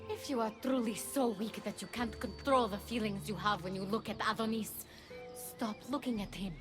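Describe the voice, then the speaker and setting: Woman voicing a cold bossy divine mentor in a game, mid pitch, solemn, music behind. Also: natural voice. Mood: cold, scolding. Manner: imperious, measured, solemn. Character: stern, commanding divine female mentor, coolly disdainful of feelings. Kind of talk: video game cutscene acting.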